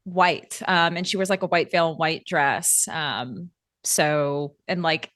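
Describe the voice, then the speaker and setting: A woman's voice: low voice, steady tone, takes it slowly, fairly bright tone, no echo, studio quality. A woman playing a tabletop roleplaying game, casually describing a character while searching for her words and saying "like" often. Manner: hesitant, searching for words, frequent "like". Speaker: player in a tabletop roleplay show.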